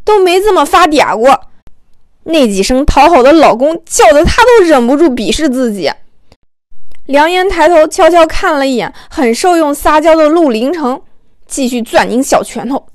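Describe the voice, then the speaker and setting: A woman's voice: high-pitched, no room sound, clean studio sound. A woman narrating an audiobook in a storytelling style, acting out the dialogue as she goes.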